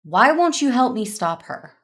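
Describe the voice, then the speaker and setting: A woman playing a solemn, conflicted but resolute Jedi warrior in an audio drama, speaking in a measured, earnest way. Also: on the high side, sing-song, fairly bright tone. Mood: angry, pleading. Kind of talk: first-person narration and dialogue in audio drama.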